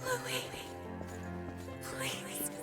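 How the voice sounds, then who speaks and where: An actress playing an anguished, guilt-ridden young woman warrior in a video game, tearful and halting, with a trembling, pleading delivery. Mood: pleading, remorseful. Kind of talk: dramatic video game voice acting. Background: loud game music.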